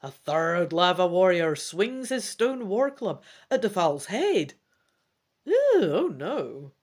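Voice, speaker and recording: Theatrical woman, very lively delivery. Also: fairly low pitch, takes it slowly. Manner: theatrical, expressive. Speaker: gamebook reader and player. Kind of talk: dramatic narration with casual play commentary.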